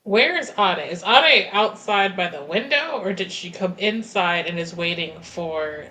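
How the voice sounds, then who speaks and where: Bright-voiced woman at a game table. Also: lowish voice, lively intonation, measured pace, low-quality recording. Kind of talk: casual game-table chat.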